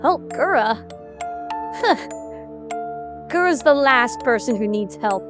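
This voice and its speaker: A woman's voice: sits high, expressive, slow pace, music in the background. A woman in an animatic audio drama playing a resentful, sardonic young idol who is bossy and blunt. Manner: blunt, sarcastic, swearing.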